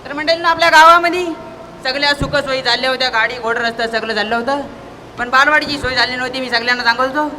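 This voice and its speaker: An earnest village orator played by a stage performer declaims a memorised speech in a very high, piercing voice. The delivery is quick and recited, as in school theatre. A light noise of the live stage surrounds it. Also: sharp tone. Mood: declamatory. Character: earnest village speechmaker repeatedly restarting his rehearsed speech. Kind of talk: declamatory school stage comedy acting in Marathi.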